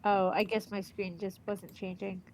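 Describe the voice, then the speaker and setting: A woman's voice: medium pitch, moderate pace, a little hiss or hum, rough recording. A woman streaming a game with a stuffy nose from allergies, chatting casually and reading the game's lines aloud. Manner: excitable, reads game text aloud. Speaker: let's play streamer.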